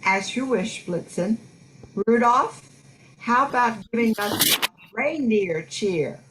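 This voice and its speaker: A woman playing a kindly, motherly wife of Santa, gentle and encouraging, in an amateur Christmas radio play. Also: a little high, lively intonation, takes it slowly, background noise. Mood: fond, hopeful.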